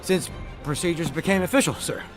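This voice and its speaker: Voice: medium pitch, a little fast, soft background music, close to an everyday voice. An actor playing a cocky, eager young fox hero in a cartoon, brash and breezy. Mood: matter-of-fact.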